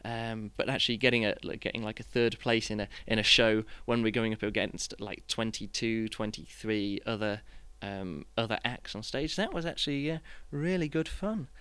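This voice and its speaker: Clear-voiced man rambling casually on a podcast. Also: on the low side, normal speed, few pauses, a bit bright, dry recording. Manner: rambling, hesitant, often restarting sentences. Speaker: podcast host and juggling performer. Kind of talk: casual podcast chat, reviewing his year.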